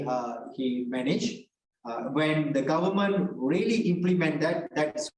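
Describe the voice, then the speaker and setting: A man's voice: on the high side, darkish tone. A man answering on a panel, talking conversationally and hesitantly with many fillers. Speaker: panel speaker. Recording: echoey, distant video call audio.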